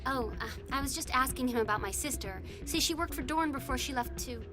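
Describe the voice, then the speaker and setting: A worried young woman in a sci-fi game, played by an actress, protective and apologetic. Her voice sits a little high and she confides hesitantly, with clear music underneath. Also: brisk, close to an everyday voice. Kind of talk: video game voice acting.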